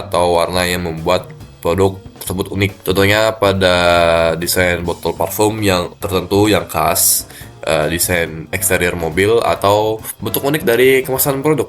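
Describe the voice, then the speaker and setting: A man with a stuffy nose giving a class presentation in a cold room, speaking haltingly with many fillers. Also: low-pitched. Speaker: student presenter.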